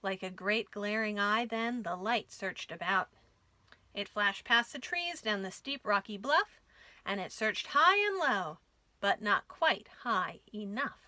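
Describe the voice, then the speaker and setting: A woman reading a rhyming picture book aloud for young children in an expressive storytime style. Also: medium pitch, measured pace, dry recording. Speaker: children's storytime reader at a library.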